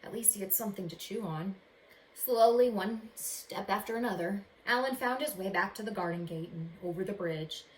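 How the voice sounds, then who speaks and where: Expressive woman reading aloud in echoey room. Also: medium pitch, normal speed. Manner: expressive, addresses young listeners with questions. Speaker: teacher reading aloud to children. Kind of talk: expressive storybook read-aloud. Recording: echoey room with faint background noise.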